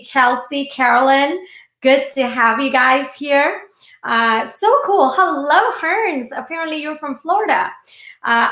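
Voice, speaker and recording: High-pitched chatty woman in an echoey room. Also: fairly bright tone. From born and raised in India, moved to the United States at fifteen. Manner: chatty, addresses viewers directly. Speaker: speaker hosting a live online session. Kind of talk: informal motivational talk to livestream viewers.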